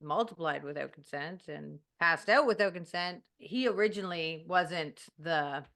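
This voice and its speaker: A woman's voice: lowish voice, unhurried, fairly bright tone. A woman in a casual book club discussion, talking in a reflective, appreciative way.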